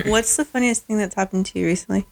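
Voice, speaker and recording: A woman's voice: mid-range voice, fairly quick, creaky, darkish tone. A woman co-hosting a podcast, chatting casually, asking questions and laughing easily. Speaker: podcast co-host. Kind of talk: casual chat.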